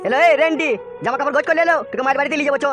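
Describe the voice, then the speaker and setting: A man's voice: extremely high pitch, racing, over loud music. A man voicing a crude, henpecked young husband who complains in exasperation in a comedy cartoon, with a rural West Bengal accent. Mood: shocked, angry.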